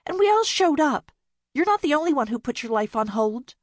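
A woman doing a high, bubbly youngest sister. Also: animated, a little slow, a bit bright, husky, dry recording, clean studio sound, exaggerated voice. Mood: excited.

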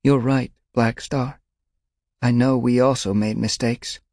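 A man's voice: mid-range voice, steady tone, a little fast, husky, no room sound, clean studio sound, pitched above the performer's own voice, close to an everyday voice. A man voicing a grieving cream-furred she-cat who speaks in a shaky, mournful way. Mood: rueful. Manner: shaky, lamenting.

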